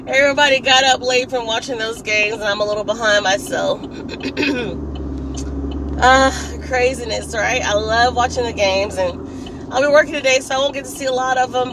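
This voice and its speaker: A woman with a hoarse, raspy voice, chatting casually as she drives to work and often laughing and clearing her throat. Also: fairly high pitch, noisy background. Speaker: vlogger. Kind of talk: casual chat while driving. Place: in a car on a commute.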